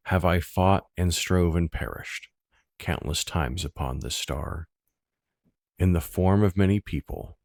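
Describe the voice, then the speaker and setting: Very deep, gravelly man reciting poetry. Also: no room sound, studio quality. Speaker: poetry reader.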